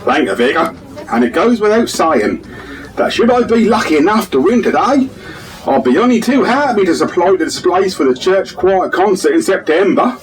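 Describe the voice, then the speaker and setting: A man's voice: high-pitched, big pitch swings, normal speed, far from the mic, poor audio. A man playing a proud, folksy English village policeman who grows flowers, in a comic radio drama.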